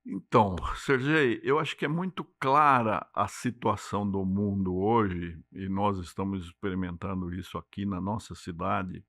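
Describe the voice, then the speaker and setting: An older man with a São Paulo accent, speaking as an interview guest in a measured, explanatory way and often ending points with a tag question. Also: deep voice, fairly even intonation, a bit bright, no echo. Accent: São Paulo Portuguese. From Brazil. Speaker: interview guest, city climate secretary. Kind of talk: unhurried interview talk.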